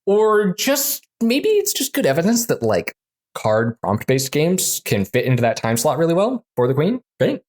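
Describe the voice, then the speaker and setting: A man's voice: fairly high pitch, huge pitch swings, moderate pace, darkish tone. Chatty man, a game designer guest. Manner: chatty, many fillers and restarts. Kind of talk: casual interview conversation.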